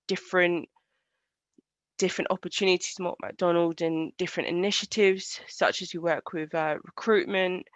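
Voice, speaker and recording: A woman engineer giving an online presentation in a halting, earnest way, over a video call. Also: fairly low pitch, dry recording. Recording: dull lo-fi video call audio.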